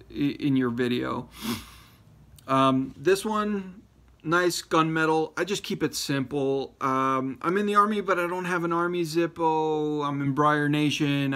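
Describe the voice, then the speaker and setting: A man's voice: medium pitch, gravelly, a bit bright. A man raised in Florida talking casually about his lighters, rambling with many fillers and restarts. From Florida, born in Ecuador. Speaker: pipe-smoking YouTuber showing his lighters. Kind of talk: casual show-and-tell video reply.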